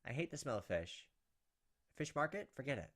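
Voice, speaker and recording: Fast-talking man reading a visual novel aloud. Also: mid-range voice, very animated, a bit bright. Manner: dramatic storytelling narration broken by casual asides. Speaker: gaming video host. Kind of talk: let's play, reading a visual novel aloud with off-the-cuff reactions.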